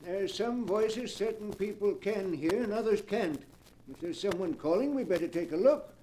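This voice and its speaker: An actor playing a fussy, scheming professor of spiritualism, pompous and precise, in 1940s radio drama. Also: male voice, sits high, animated, moderate pace, background noise. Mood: intrigued.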